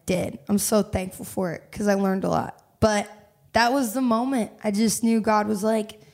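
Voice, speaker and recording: A young woman singer telling her story candidly in a casual interview, animated and quick to laugh. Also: medium pitch, vocal fry. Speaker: singer-songwriter guest.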